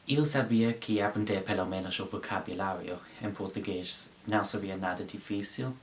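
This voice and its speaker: A man's voice: low-pitched, slight background noise. A sixteen-year-old boy from England, a native English speaker, telling his story to camera in careful learner's speech, often stopping to correct himself. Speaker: language vlogger.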